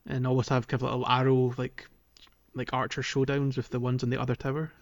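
A man's voice: medium pitch, flat delivery, fast talker. Slightly muffled man chatting about games. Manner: hedging, informal explaining with kind of and like. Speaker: podcast co-host. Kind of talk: casual video game discussion.

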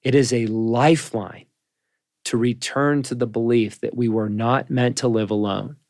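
A man's voice: medium pitch, fairly even intonation, moderate pace, a little mellow, no echo, clean studio sound. A man co-hosting a faith podcast, speaking earnestly with a preacherly rhythm and repeated phrases. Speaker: podcast co-host. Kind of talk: reflective faith conversation.

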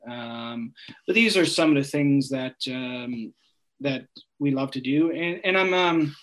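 A man, chatting casually as a guest in an interview, relaxed, with frequent laughs and many fillers. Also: medium pitch, measured pace. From Newfoundland, Canada. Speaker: food bank guest.

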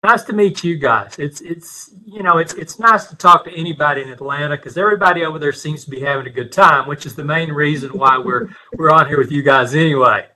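Bright-voiced East Texas man hosting. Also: on the high side, a little fast, hardly any pauses, rough recording. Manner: friendly, repeats words when starting sentences. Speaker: guest podcast host. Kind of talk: casual podcast interview. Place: remote video call.

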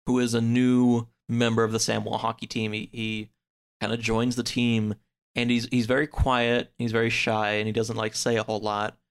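A man in a casual chat, retelling a story in a rambling way peppered with "like" and acting out the characters' lines. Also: mid-range voice. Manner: acts out characters' lines in quotes. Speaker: podcast guest.

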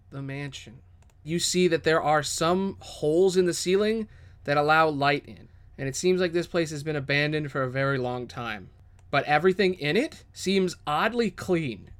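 Higher-voiced man narrating as game master. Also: measured pace, fairly bright tone. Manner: descriptive, dramatic second-person storytelling. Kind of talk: improvised tabletop fantasy narration.

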